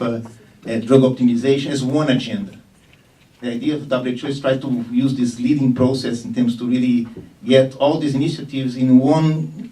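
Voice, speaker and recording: A man giving a formal technical presentation at a conference meeting, speaking in a measured, halting way. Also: mid-range voice, mellow. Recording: distant room microphone.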